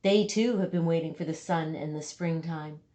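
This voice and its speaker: A woman reading a children's picture book aloud, with dramatic emphasis and deliberate pauses for young listeners. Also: low-pitched, dark tone. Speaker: storybook reader.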